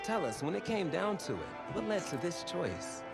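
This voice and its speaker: A man's voice: high voice, sing-song, moderate pace, vocal fry, loud music behind the voice, heavily acted. An actor playing an upbeat male sports presenter interviewing players in a scripted game scene.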